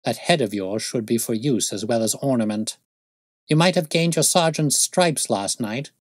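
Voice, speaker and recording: A man voicing a brilliant, impatient gentleman detective in an audiobook story, speaking in a brisk, commanding, articulate way. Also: medium pitch, no room sound, clean studio sound, pitched above the performer's own voice, natural voice. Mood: scornful.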